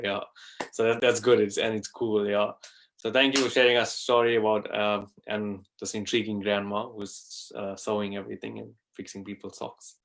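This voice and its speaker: A man's voice: fairly low pitch, fairly bright tone. A man with an Indian English accent hosting a kids' story session online, rambling with many fillers. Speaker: host.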